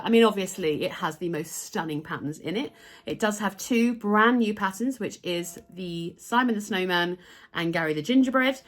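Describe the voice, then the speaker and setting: A woman's voice: lowish voice, a bit bright. A woman hosting her crochet channel in a chatty vlog to camera, a little nasal from a recent cold. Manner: chatty, enthusiastic, showing items to camera. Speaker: crochet designer and channel host.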